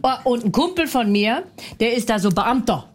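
A woman's voice: a little high, expressive, normal speed. A woman in a comedy sketch, talking bluntly and brashly, ranting, mocking the other person and laughing often.

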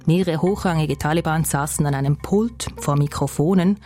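A woman hosting a news podcast, reading a scripted narration in a calm, measured way. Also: deep voice, soft background music. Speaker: podcast host.

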